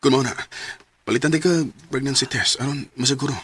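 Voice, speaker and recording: An actor with a Visayan Filipino accent playing a soft-spoken, coaxing suitor in his late thirties in a radio drama. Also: mid-range voice, fast, close to an everyday voice. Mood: concerned.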